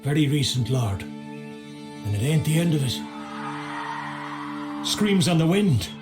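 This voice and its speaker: Higher-voiced wary medieval soldier. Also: male voice, big pitch swings, slow, over music. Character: wary, plain-spoken medieval foot soldier, loyal. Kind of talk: dramatic video game cutscene acting.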